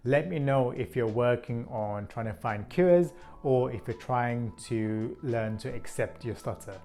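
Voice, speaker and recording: A man in his thirties hosting his own video channel, talking to camera in an earnest, measured way, with an occasional stutter slowing his words. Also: medium pitch, faint music behind. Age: 30s. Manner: earnest, even and measured, now and then slowed by a stutter. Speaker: channel host. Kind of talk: scripted vlog talk to camera.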